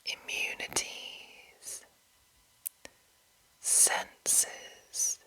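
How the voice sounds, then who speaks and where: A woman whispering in a breathy, unvoiced voice, softly and deliberately reading aloud as an ASMR host. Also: low-quality recording. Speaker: ASMR video host and narrator.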